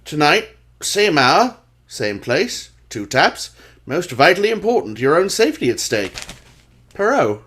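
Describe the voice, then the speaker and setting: A man acting an earnest Victorian gentleman, a loyal sidekick who narrates an audio drama in formal style. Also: on the high side, very animated, unhurried, husky, full character voice. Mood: reading aloud, intent.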